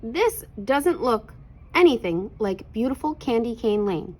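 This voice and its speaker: A woman's voice: fairly high pitch, big pitch swings, unhurried, a little mellow, husky, full character voice. Woman acting an earnest, exclaiming little choir boy. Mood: discouraged. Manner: exclaiming, wide-eyed.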